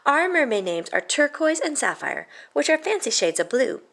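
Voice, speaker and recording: A woman with a fairly high voice reads a children's picture book aloud. Her delivery is expressive and animated, shaped for young listeners. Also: normal speed, gravelly. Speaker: storybook reader.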